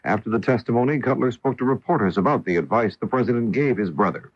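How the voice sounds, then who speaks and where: A man's voice: medium pitch, lively intonation, fast, husky, dry recording, highs cut off. An American man reading scripted news as a radio anchor, on an old broadcast recording.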